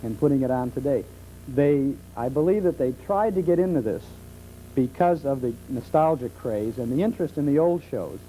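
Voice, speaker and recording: A middle-aged man being interviewed as a talk show guest, explaining things conversationally with hesitant pauses. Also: medium pitch, lively intonation, dark tone. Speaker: talk show guest, old-time radio enthusiast. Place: television studio. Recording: muffled 1970s television tape with hum.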